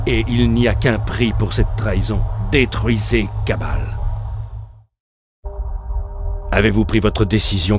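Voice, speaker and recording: Casting: actor as male cult warlord, low voice, solemn menace, game cutscene over music. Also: close to an everyday voice. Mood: grim command. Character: commanding male military cult leader, grim and vengeful. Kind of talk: dubbed video game cutscene acting.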